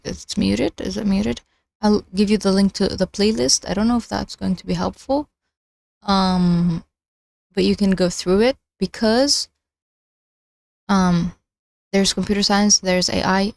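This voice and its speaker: A woman hosting a casual livestream, chatting in a halting way as she thinks out loud. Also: medium pitch, vocal fry, dry recording. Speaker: livestream host.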